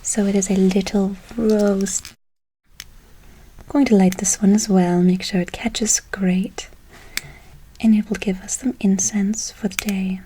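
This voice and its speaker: A woman playing a gentle, doting witch in a whispered ASMR role play, slow and soothing with a breathy voice, repeating words softly. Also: mid-range voice, little pitch movement, a little hiss or hum, lightly acted, poor audio. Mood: soothing, encouraging. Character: gentle doting forest witch casting a spell. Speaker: ASMR performer.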